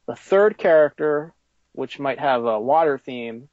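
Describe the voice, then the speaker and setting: A man answering informally as an interviewed toy designer, halting as he thinks aloud, on a slightly lo-fi recording. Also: medium pitch, lively intonation, darkish tone, dry recording.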